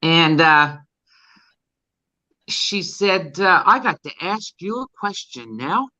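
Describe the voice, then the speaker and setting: A woman's voice: on the low side, sing-song, slow pace, bright, forceful tone, dry recording. A woman with a Newfoundland accent, casually telling anecdotes in a group chat. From Newfoundland, Canada.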